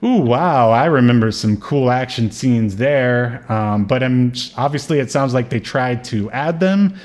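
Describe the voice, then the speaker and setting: A man's voice: medium pitch, expressive, unhurried, darkish tone. A man with a mid-range voice talks casually as a podcast co-host. He rambles as he goes and likes to argue a point in a playful way. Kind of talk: casual chat about an old TV show.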